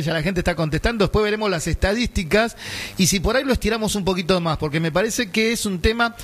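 An Argentine man hosts a radio segment in a high voice, chatty and loose. He leans on fillers and keeps asking "right?" as he talks things through. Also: a bit bright, breathy edge. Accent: Argentine Spanish. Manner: chatty, many fillers and tag questions. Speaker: radio host. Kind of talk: casual radio chat.

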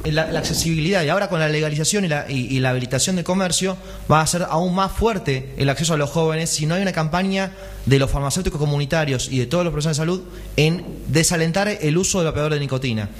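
A man's voice: fairly high pitch, quick pace, a little hiss or hum. A man, a pharmacist giving a radio health column, explaining step by step and asking rhetorical questions.

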